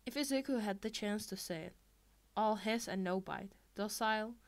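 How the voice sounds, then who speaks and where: A woman reading fiction aloud in an expressive amateur audiobook style. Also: medium pitch, moderate pace. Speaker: podfic reader.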